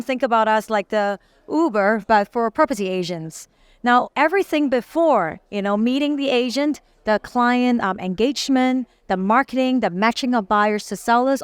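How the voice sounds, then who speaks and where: A woman, a company CEO pitching her business in an interview, polished but with frequent fillers. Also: moderate pace, smooth, no echo. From Singapore. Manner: polished, promotional, uses many fillers.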